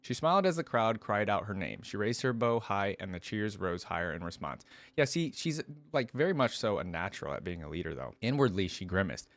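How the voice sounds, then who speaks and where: Guy w/ lowish voice doing a lore reaction, reads aloud n chats casually, dry sound no room echo. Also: animated, brisk, a bit bright. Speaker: reaction video host.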